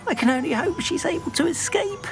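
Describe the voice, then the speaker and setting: An actor playing a timid, sentimental goblin in a video game, with a plaintive, fretful way of talking. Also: male voice, extremely high pitch, expressive, brisk, creaky, over music, exaggerated voice. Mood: distressed, fretful. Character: timid, anxious, sentimental goblin doting on his pet. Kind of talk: video game character acting.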